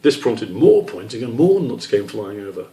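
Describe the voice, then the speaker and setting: A man's voice: lowish voice, big pitch swings, normal speed, mellow. A man reading aloud from his own children's book as a storybook narrator. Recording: roomy echo, distant microphone.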